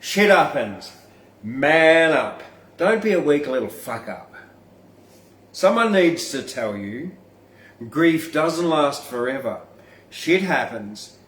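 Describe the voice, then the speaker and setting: Slow-paced man reciting in a roomy space. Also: medium pitch, expressive, a bit bright, recorded from a distance. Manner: informal, blunt, freely swearing. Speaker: podcast host and poet reading own verse. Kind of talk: performed poetry reading with casual asides. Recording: roomy, echoing room sound.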